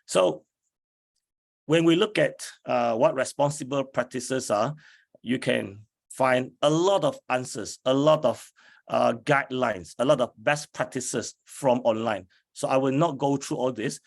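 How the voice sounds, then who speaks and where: Hoarse, slow-talking male lecturer. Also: medium pitch. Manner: slow, deliberate, many fillers. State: hoarse from flu. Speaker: academic lecturer. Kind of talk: slide lecture.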